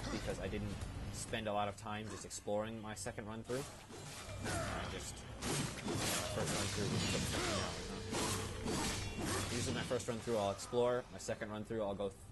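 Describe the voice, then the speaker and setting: A man giving casual, rambling and explanatory commentary as he plays through a video game. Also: fairly low pitch. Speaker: video game let's-player. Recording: loud game music behind the voice. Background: loud video game music.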